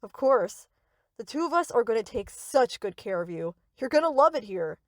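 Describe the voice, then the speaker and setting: A woman's voice: a little high, very animated, moderate pace, no echo, clean studio sound. A woman playing a sweet, reassuring but possessive young yandere best friend in an anime-style audio roleplay, coaxing and doting.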